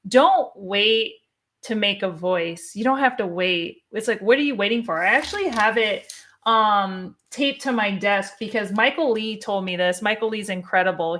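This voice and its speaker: A woman's voice: mid-range voice, fairly bright tone. A woman sharing a motivational personal story in an emphatic, encouraging way on a podcast. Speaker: podcast speaker, business owner.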